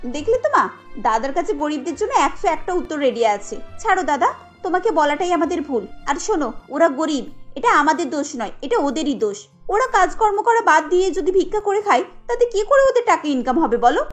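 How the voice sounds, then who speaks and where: A woman's voice: high-pitched, very animated, fairly quick. A woman voicing a spoiled, snobbish young rich daughter in a cartoon, complaining in a disdainful, whiny manner. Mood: scornful, argumentative. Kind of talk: cartoon dialogue acting. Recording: light music under the voice.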